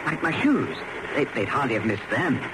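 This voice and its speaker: A man's voice: mid-range voice, very animated, normal speed, lightly acted. A man acting in a radio drama as a flustered, eager novice secret agent, hesitant and polite, with a British accent. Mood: uneasy. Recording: very noisy old radio drama recording.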